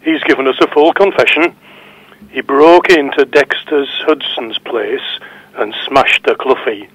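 A man playing a friendly, matey police inspector calling in to a show in an audio drama, reporting in a measured way. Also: mid-range voice, fairly bright tone, no room sound, low-quality recording. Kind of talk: audio drama phone-in dialogue.

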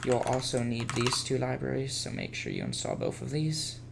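Male tutorial presenter, informal screencast narration, medium pitch with noticeable vocal fry. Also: wildly swooping pitch, normal speed. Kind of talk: casual software tutorial screencast voice-over. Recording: faint background noise and keyboard typing.

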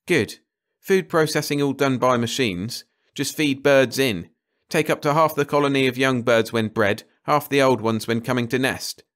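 Brisk, clipped male ecologist, raised pitch acted by a man. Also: fairly bright tone, close to an everyday voice. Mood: brisk, businesslike. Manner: clipped, telegraphic phrases. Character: brisk, absent-minded, enthusiastic male ecologist. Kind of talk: audiobook character dialogue.

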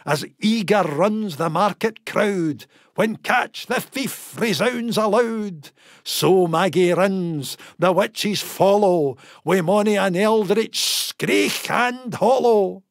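A man with a Scottish accent giving a theatrical, expressive dramatic recitation of a narrative poem. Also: high-pitched, takes it slowly, creaky, no echo, studio quality. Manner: theatrical, expressive storytelling delivery. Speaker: poetry reader.

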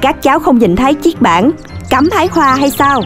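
High-voiced, firm cartoon animal grown-up. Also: female voice, animated, normal speed. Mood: stern. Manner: instructive, parental. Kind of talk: children's cartoon dubbing. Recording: music behind the voice.

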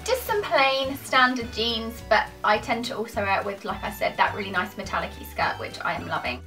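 A woman's voice: on the high side, expressive, a little fast, pushed and bright, recorded from a distance, soft background music, poor audio. A British woman in her twenties chatting casually to camera in an informal, self-deprecating, rambling way. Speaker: YouTuber answering questions to camera. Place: small furnished room at home, indoors.

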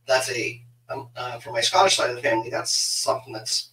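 A middle-aged man chats as a podcast host with a bright, forward voice. He speaks haltingly, doubling back on words. The sound is roomy and set back from the mic, as on a video call. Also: mid-range voice, expressive, distant mic, a little hiss or hum. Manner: halting, repeats words as he goes. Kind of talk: casual interview chat. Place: small home office on a video call.

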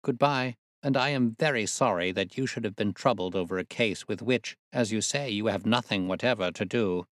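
A man voicing a brisk, observant gentleman detective in a storybook reading, self-assured, precise, formal and courteous. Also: on the low side, no room sound, studio quality, natural voice. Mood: courteous, probing.

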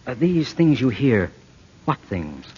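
An actor playing an earnest, protective detective, questioning urgently and reassuringly in a 1940s radio drama style. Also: male voice, medium pitch, very animated, takes it slowly, mellow. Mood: probing. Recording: muffled, noisy old radio recording.

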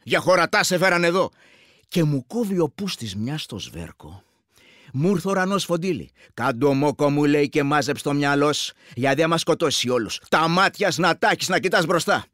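An earthy war veteran from a village, with a rural Greek accent and a slightly high voice. He recounts combat matter-of-factly, in a chatty radio-drama monologue, and slips into other men's speech. The sound is very dry. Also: animated, a bit bright, dry recording. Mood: stern. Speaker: actor. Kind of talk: radio play monologue, colloquial storytelling.

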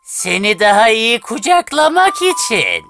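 A woman voicing a sly wolf disguised as a sweet old grandmother in a children's cartoon, cooing in an exaggerated, drawn-out way. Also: fairly high pitch, very animated, creaky, fairly bright tone, soft background music, exaggerated voice. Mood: sly, menacing. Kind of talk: children's cartoon fairy tale acting.

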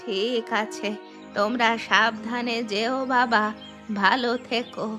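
A woman's voice: a little high, fairly even intonation, slow. A woman voicing an aging, widowed mother-in-law in a cartoon who laments and scolds. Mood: sorrowful. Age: older. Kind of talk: cartoon voice acting. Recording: studio voice with background music.

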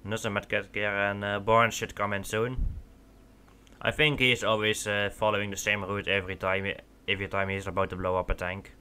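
A man's voice: lowish voice, sharp tone, a little hiss or hum. A young Dutch man, speaking English as a second language, casually commenting while he plays a shooter, rambling with lots of fillers and restarts. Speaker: gaming channel host. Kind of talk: casual let's play commentary.

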